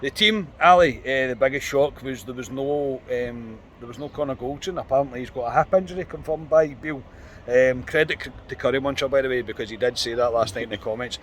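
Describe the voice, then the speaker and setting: A Scottish man hosting a football podcast outdoors, talking in an animated, frustrated way. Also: medium pitch, a little hiss or hum. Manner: animated, frustrated, quick restarts. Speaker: podcast host. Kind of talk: post-match fan reaction chat. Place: outdoors on a street.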